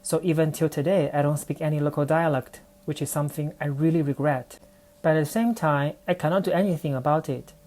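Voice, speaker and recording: A woman's voice: sits low, a little fast, mellow. A woman from China with a Chinese accent, speaking English as she tells a personal story on her own podcast in a reflective, careful way. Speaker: podcast host.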